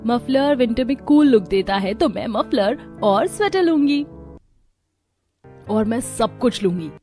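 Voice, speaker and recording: A woman voices a spoiled young daughter in a storybook moral tale. She is carefree and coaxing, with a girlish, raised pitch. Faint music plays behind her. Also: animated, measured pace, mellow. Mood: eager. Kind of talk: Hindi moral story voice acting.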